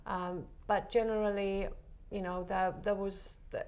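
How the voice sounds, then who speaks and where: A woman from the Republic, a photographer guest talking in a relaxed interview, soft and hesitant with many pauses. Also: medium pitch. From Czech Republic.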